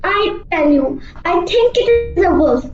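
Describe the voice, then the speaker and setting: Very high-pitched bossy young sister played by a woman. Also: animated, a little mellow, soft background music, full character voice. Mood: worried, urgent. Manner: brisk, scolding, practical. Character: sensible, bossy young sister lost in a forest. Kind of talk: amateur radio play acting. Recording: muffled, echoey room recording.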